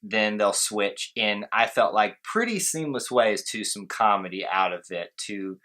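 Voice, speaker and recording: A man co-hosting a casual movie review, talking in a playful, excitable and emphatic way. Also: fairly low pitch, measured pace.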